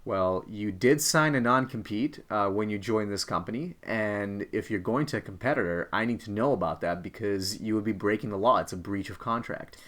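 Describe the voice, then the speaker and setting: Man playing a low-voiced hostile boss. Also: moderate pace, few pauses, fairly bright tone, lightly acted. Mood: pressing, stern. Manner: curt, accusing, threatening. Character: hostile male boss, angry at quitting employee, pressuring. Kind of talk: workplace role-play.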